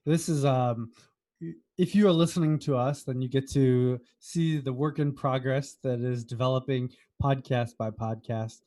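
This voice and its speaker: This is an American man with a soft, mellow tone that stays relaxed and unforced. He chats casually as a podcast co-host, with a wry streak and a habit of teasing. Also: mid-range voice, normal speed. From United States. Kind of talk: casual chat.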